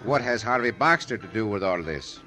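A man's voice: lowish voice, animated, normal speed, music in the background, natural voice. A man playing a bold, suave Mexican gunslinger hero in an old-time radio western, formal and confident, with a Mexican accent. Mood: cool defiance.

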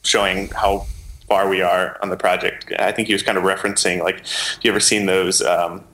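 Male interview guest, lowish pitch with a bright, forward edge, conversational and explanatory. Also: level tone, brisk, rough recording. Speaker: interview guest, software maker.

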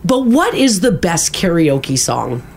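A woman's voice: fairly low pitch, a little hiss or hum. A woman co-hosting a casual radio show, reading listener texts aloud and chatting with her co-hosts. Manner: animated, giggly, reads texts aloud briskly.

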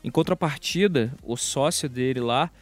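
A man on a casual technology podcast, chiming in with quick, playful remarks. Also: medium pitch, dry recording, faint music behind. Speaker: podcast co-host. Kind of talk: casual tech podcast chat.

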